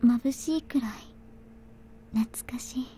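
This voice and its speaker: An actress voicing a gentle, childlike young woman in a visual novel, speaking softly and wistfully. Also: on the high side, normal speed, slight background noise, close to an everyday voice. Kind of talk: anime visual novel voice acting. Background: soft game music.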